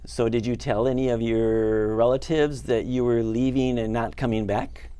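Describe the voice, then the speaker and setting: A man's voice: medium pitch, level tone, moderate pace, dark tone, clear, resonant tone. A man interviewing a guest, who asks courteous leading questions.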